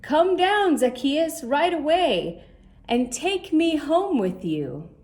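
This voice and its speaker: A woman's voice: sits high, sing-song, slow pace, a little mellow, pitched above the performer's own voice, heavily acted. A woman voicing a kindly, commanding holy man calling out in a children's storybook. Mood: friendly, commanding. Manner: calling out, exclaiming. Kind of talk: storybook character voice.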